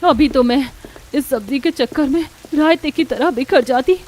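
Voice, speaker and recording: महिला की आवाज़ में झुंझलाई जवान बहू, ऊँचा सुर. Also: female voice, sits high, normal speed, darkish tone, a little hiss or hum, voice pushed up, close to an everyday voice. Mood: exasperated. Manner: exasperated, complaining. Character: harried, resentful young daughter-in-law, grumbling housewife. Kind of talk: Hindi family cartoon dubbing.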